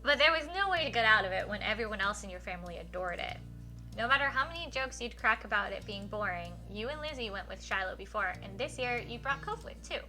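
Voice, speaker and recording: Bright-voiced, enthusiastic woman streaming a story game. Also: mid-range voice, animated, fast talker, soft background music. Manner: enthusiastic, gushing reactions to the story. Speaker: live streamer reading a visual novel aloud. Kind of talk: expressive story reading mixed with casual stream chat.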